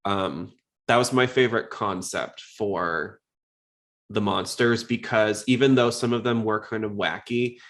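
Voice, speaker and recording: Low-voiced man chatting casually on a podcast. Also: a little slow, fairly bright tone. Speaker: podcast host. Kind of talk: casual podcast chat about anime.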